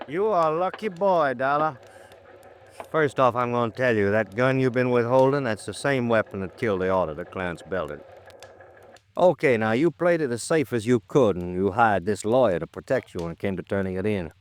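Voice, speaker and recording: An American man playing a blunt, folksy police lieutenant who lectures gruffly, in old-time radio drama style. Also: medium pitch, animated, fairly quick, a little hiss or hum, close to an everyday voice. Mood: smug, magnanimous. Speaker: supporting actor.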